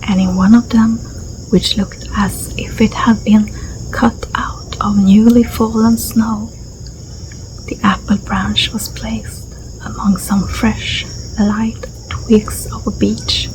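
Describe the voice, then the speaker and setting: Soft, half-whispering Swedish-accented woman, slow. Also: medium pitch, flat delivery. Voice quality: soft, breathy, often dropping into a whisper. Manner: gentle, unhurried, soothing. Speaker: ASMR storyteller and host. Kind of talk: soft-spoken ASMR story reading. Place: outdoors in a garden. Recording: clear outdoor ambience with insect noise. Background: crickets and insects, garden ambience.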